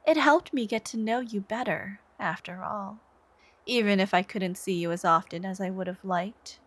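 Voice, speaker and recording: A woman voicing an obsessive, devoted, soft-spoken supervillain in a scripted ASMR roleplay, intimate and menacing, with phrases trailing into whispers. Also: medium pitch, natural voice. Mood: softly coaxing. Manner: slow, intimate, breathy sighs and whispered phrase endings.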